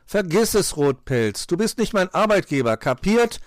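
A man's voice: sits high, very animated, normal speed, dry recording, clean studio sound, pitched above the performer's own voice. A man voicing a lazy, rude fairy-tale girl with insolent, slangy back-talk. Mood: defiant.

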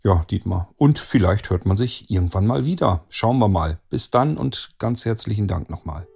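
A man's voice: lowish voice, animated, normal speed, vocal fry, darkish tone, husky. A man hosting an interview in a wordy, effusively polite manner. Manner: effusively polite, formal phrasing. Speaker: interviewer, host.

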